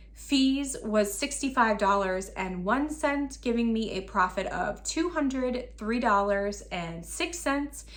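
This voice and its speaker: A woman in her thirties who is losing her voice and sounds raspy, running through her online resale sales in a matter-of-fact, item-by-item way. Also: on the high side, fairly bright tone. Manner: itemized, matter-of-fact listing. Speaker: online reseller. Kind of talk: sales recap vlog.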